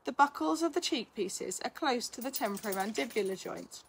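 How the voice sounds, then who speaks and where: A woman reading a scripted article aloud in a measured, instructional manner. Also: on the high side, animated, vocal fry, slight background noise. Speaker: reader of a blog, physiotherapist.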